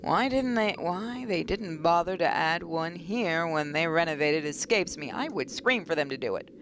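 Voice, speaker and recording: A woman hosting a gaming video, reading a visual novel aloud dramatically with playful, expressive asides. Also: on the low side, moderate pace, slight background noise.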